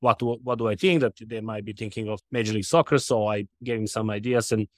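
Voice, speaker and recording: A middle-aged man from Serbia with a Serbian accent, interviewed on a podcast as a soccer club's sporting director, talking haltingly with many restarts and fillers. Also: on the low side, fairly even intonation, no echo, clean studio sound. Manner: halting, repeats words, frequent fillers.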